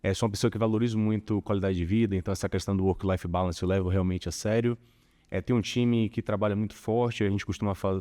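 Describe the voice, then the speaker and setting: A man from Bahia with a Bahian accent, chatting as a corporate guest in an interview, relaxed and full of small filler tags. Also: lowish voice. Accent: Bahian Portuguese. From Salvador, Bahia, Brazil. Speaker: corporate tax director, guest. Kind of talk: casual interview conversation.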